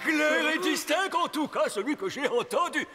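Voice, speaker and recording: High-pitched, imperious cartoon king. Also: lively intonation, normal speed, bright, forceful tone, heavily acted. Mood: pompous, decisive. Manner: commanding, declamatory. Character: imperious, stubborn old king, exasperated father of a princess. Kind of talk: dubbed children's fairy-tale cartoon acting. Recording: long echoing reverb, music underneath.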